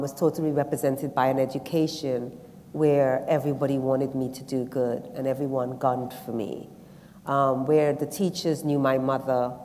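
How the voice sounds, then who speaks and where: Low-voiced woman on a reverberant stage. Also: mellow, a little hiss or hum. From Guyana. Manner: reflective, with frequent ums and restarts. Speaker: panelist at a literature festival. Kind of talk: personal reflection and reading aloud on a stage panel. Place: theatre stage before an audience. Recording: long reverb of a large hall.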